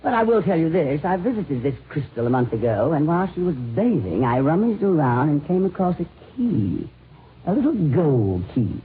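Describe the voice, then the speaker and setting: Over a noisy, narrow old broadcast comes a scornful, gossipy society lady. Her fairly low voice swings dramatically up and down, theatrical and cutting, in the manner of 1950s radio drama. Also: sing-song, moderate pace. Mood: sly gossip. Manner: arch, biting, theatrical. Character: catty, gossipy, high-strung society woman, scornful and gushing.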